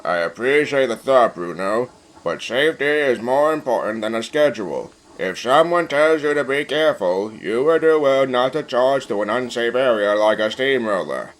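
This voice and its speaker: A man voices a gentlemanly railway boss, stern yet fair. His delivery is formal and measured, at a middling pitch, like an authority figure in a children's train story. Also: lively intonation, close to an everyday voice, low-quality recording. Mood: admonishing.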